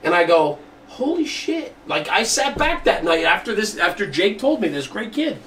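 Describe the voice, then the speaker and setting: A man's voice: high voice, sing-song, moderate pace, a bit bright. A man hosting a casual studio podcast, speaking in an earnest, emphatic way. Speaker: podcast host. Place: studio room. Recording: roomy, distant mic, faint background noise.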